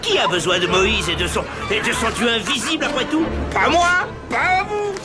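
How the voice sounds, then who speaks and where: High-voiced, scornful rabble-rouser. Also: male voice, expressive, normal speed. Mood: gloating, rousing. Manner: demanding, mocking, declamatory. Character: agitated, scornful male rabble-rouser among desert Israelites. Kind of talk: animated Bible film acting. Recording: loud music and crowd behind.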